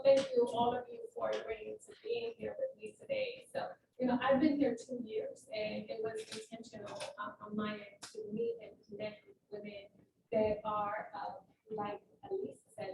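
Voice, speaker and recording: A woman's voice: a little high, gravelly. A woman moderating a live panel discussion at an event, talking in a conversational, emphatic way, recorded from a distance in a roomy hall. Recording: roomy, distant mic with room noise.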